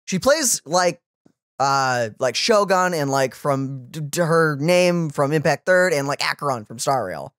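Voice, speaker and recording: A young man giving casual reaction commentary, animated and often searching for words. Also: on the high side, a little slow, no room sound, clean studio sound. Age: young adult. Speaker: reaction channel host. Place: small room at home. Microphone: boom-arm microphone, close.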